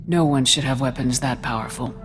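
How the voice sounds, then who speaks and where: An actress playing a steady, supportive military squad commander in a video game. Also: female voice, a bass voice, fairly quick. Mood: steady, businesslike. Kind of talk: scripted video game acting. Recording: game cutscene with echo and music.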